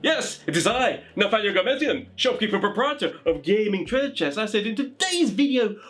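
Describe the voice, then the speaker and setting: A man hosting a casual explainer for tabletop game masters, talking in an animated, rambling, informal way. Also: high voice, fairly quick, music in the background. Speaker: video host giving tabletop game master advice.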